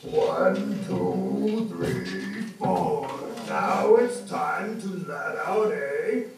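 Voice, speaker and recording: A performer voicing a lumbering giant monster in a fairy-tale puppet show, gravelly, with slow, drawn-out, booming delivery. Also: over loud music. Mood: menacing, plodding. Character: lumbering giant monster counting its steps and roaring. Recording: distant, very echoey, noisy puppet show.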